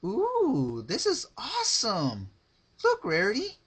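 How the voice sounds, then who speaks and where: A man's voice: high voice, wildly swooping pitch, slow pace, fairly bright tone, husky, voice pushed up, heavily acted. A man voicing a manic, gleefully cruel young female cartoon pony in a story, with a gushing, sing-song mock sweetness. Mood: gleeful excitement.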